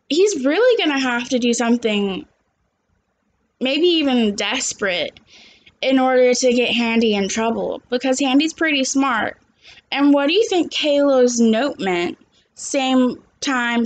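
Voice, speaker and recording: A woman's voice: sits high, expressive, measured pace, a little hiss or hum, rough recording. A young adult woman, a teacher, reading a story aloud and chatting to children, recorded at home on a computer's microphone. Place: small living room at home, indoors.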